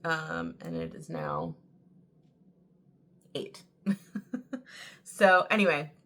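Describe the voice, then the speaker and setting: Young female vlogger, low register, a bit raspy, loose chatty storytelling. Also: big pitch swings, drawn-out words, a bit bright, a little hiss or hum. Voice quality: a little raspy. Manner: chatty storytelling, many "like" fillers. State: tired from early waking. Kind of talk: casual chat to camera.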